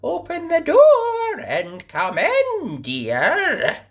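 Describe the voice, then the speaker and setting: A woman's voice: very high-pitched, huge pitch swings, extremely slow, voice pushed up, exaggerated voice. A woman voicing a wicked old male wolf from a storybook, coaxing and mock-sweet.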